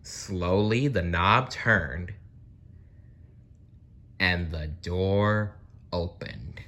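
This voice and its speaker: A storybook reader narrating for children in an expressive, deliberate way with dramatic pauses. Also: deep voice.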